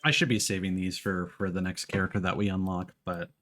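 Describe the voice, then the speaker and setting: A man giving casual live gameplay commentary as a streamer, often thinking out loud in a halting way. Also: low-pitched, steady tone, faint music behind. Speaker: game streamer.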